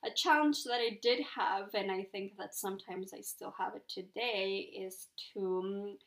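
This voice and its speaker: A woman's voice: mid-range voice, fairly even intonation, normal speed, creaky, fairly bright tone. A young woman from Ecuador, a guest in a casual interview, talking thoughtfully with lots of ums and likes. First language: Spanish. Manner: thoughtful, many fillers like "um" and "like".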